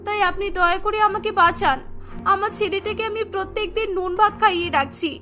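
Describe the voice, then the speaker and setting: Woman voicing a high, anxious village mother. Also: steady tone, fairly quick, pushed and bright, faint music behind. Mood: tearful pleading. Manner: pleading, anxious. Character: poor, worried village mother pleading for her hungry son.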